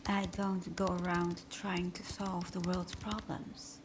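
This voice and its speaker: Woman acting a slow-spoken, breathy female supervillain. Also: on the low side, flat delivery, background noise. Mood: smug, teasing. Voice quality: breathy, often whispered. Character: female supervillain thief, teasing bravado hiding a timid, wounded heart. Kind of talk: ASMR roleplay audio drama.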